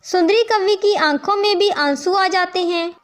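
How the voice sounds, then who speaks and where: A woman with an extremely high-pitched voice narrates a children's tale. The delivery is scripted storytelling, told as the narrator of a cartoon. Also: normal speed.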